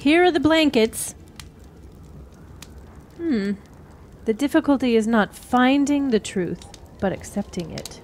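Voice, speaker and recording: A woman playing a cryptic, sorrowful wise woman who counsels youths in measured riddles in a fantasy radio drama. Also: high voice, expressive, darkish tone, slight background noise. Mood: knowing, grave. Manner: measured, riddling, archaic storyteller phrasing.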